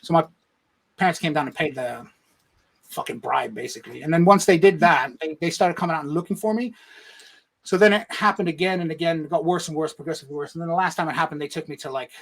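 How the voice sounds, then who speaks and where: A man's voice: fairly high pitch, fast, vocal fry, a bit bright. A man from Egypt telling a personal story in a casual interview, rambling and swearing, with frequent "right?" tags. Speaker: podcast guest.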